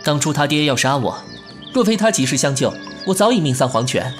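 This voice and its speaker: A man playing a refined, caring but sharp-tongued young bandit leader in a Chinese period audio drama, speaking earnestly in literary phrases. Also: on the high side, big pitch swings, brisk, faint music behind. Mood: urgent pleading.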